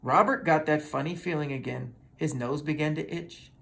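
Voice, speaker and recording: A person reading a children's story aloud in an expressive, dramatic manner. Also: mid-range voice, normal speed, vocal fry. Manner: expressive, with dramatic sound words. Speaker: storybook reader.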